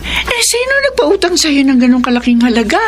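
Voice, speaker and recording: A woman's voice: high voice, very animated, fairly quick, slight background noise. An actress playing a protective mother, newly home after illness, questioning her daughter in a classic radio drama. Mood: concerned. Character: worried, protective older mother recovering from illness.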